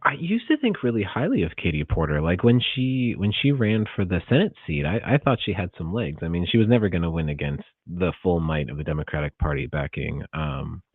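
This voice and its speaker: Male podcast co-host, American, very deep voice, informal and hedging, dry, slightly dull recording. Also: lively intonation, fairly quick, few pauses, slightly rough, no echo. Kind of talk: casual political discussion.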